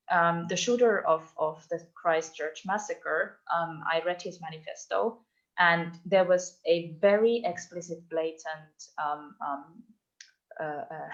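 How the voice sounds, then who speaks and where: A woman's voice: lowish voice, gravelly. A woman giving an online talk about her research, thoughtful and full of ums and restarts, heard over a video call. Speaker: invited speaker, doctoral researcher. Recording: dull lo-fi online call audio.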